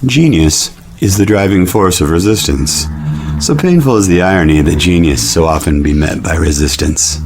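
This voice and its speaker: Male narrator, very low and animated, grandiose mock-documentary read over music. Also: moderate pace. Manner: grandiose, wordy.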